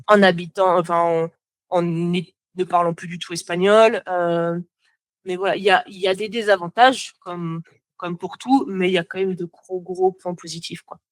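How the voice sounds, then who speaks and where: A woman's voice: fairly low pitch, steady tone, moderate pace, dry recording. A young French woman, a motion designer, chatting as a podcast guest, talking freely with lots of fillers and restarts. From France. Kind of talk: casual podcast conversation.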